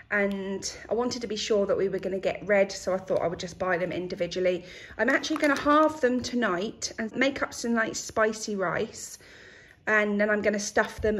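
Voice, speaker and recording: A woman's voice: fairly high pitch, slight background noise. A woman, casually chatting through her grocery haul in her kitchen. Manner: chatty, relaxed, with frequent ums. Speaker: vlogger showing a grocery haul. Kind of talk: casual chat to camera. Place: kitchen, indoors.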